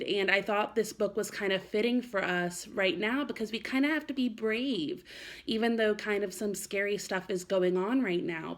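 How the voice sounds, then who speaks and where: A woman first-grade teacher talking reassuringly to young children and reading a picture book aloud. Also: medium pitch. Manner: addresses children directly, reassuring. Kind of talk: read-aloud for young children, chatty address and storybook narration.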